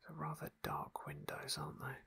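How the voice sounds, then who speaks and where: A man whispering ASMR narration of a video game playthrough in a soft, unhurried, genteel way.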